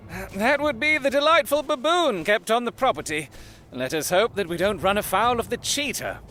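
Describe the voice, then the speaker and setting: An actor playing a keen, commanding gentleman detective in an audio drama, speaking in deliberate, formal, dramatic phrases. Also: high voice, lively intonation, faint music behind. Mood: wry, hushed urgency.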